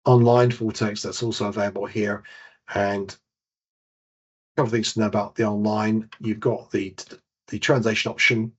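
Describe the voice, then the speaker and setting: A man with a British accent leading a software demonstration webinar as a database trainer. He explains each step while he clicks through the screens and corrects himself now and then. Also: fairly low pitch, slightly rough. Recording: dull lo-fi webinar audio.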